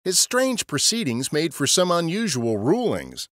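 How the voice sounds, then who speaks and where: A man's voice: on the high side, huge pitch swings, measured pace, fairly bright tone, no echo, clean studio sound. A man narrating a scripted history story as a podcast storyteller.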